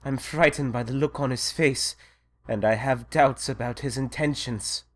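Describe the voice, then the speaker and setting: A man's voice: medium pitch, moderate pace, natural voice. A man playing a wary, loyal and frightened manservant in an audio drama, deferential and uneasy.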